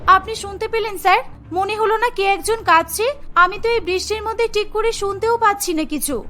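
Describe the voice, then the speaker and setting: Woman playing a very high-pitched, caring young mother. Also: a little fast, sharp tone, soft background music. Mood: urgent. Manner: earnest, pleading, caring. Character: brave, caring young mother working as a medic. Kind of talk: Bengali cartoon voice acting.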